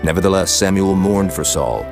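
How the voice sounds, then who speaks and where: A man's voice: low voice, animated, moderate pace, over music. A man narrating dramatized scripture in a solemn, formal storytelling manner.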